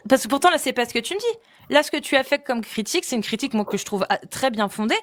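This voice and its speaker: A woman's voice: high-pitched, lively intonation, fast, fairly bright tone. A woman hosting a live stream debate, pressing her guest with pointed questions. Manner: probing, insistent questioning. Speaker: host.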